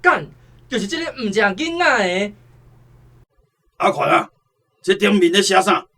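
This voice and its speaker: A man's voice: high voice, big pitch swings, measured pace, creaky, sharp tone, exaggerated voice. A man playing a brutal, aggressive, foul-mouthed kidnapper in a radio drama, with a Taiwanese accent. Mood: gruff, impatient. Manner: aggressive, swearing, menacing.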